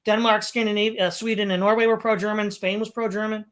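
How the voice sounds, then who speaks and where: Woman podcast interviewer, mid pitch, rambly and opinionated, repeats stuff for emphasis. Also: flat delivery, quick pace.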